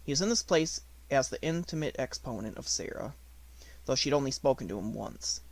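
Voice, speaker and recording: A man's voice: medium pitch, animated, a little fast, vocal fry, rough recording. A man reading a classic children's novel aloud as scripted audiobook narration. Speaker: audiobook reader.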